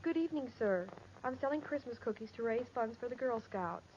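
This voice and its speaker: Woman as a high-voiced young Girl Scout. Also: animated, brisk, a little mellow, close to an everyday voice. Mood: polite, frank. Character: polite, frank young Girl Scout cookie seller. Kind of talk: 1940s radio comedy acting. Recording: muffled, very noisy old radio recording.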